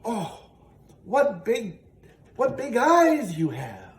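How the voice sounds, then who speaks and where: A man voicing an innocent, wide-eyed little storybook girl, slow, hesitant and wondering. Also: sits high, huge pitch swings, pitched above the performer's own voice, heavily acted. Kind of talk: storybook character voice. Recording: roomy, distant, faintly noisy.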